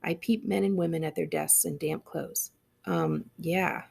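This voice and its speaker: Creaky, husky woman reading a story. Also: on the low side, steady tone, normal speed, dark tone. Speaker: librarian reading aloud. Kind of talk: expressive audiobook narration.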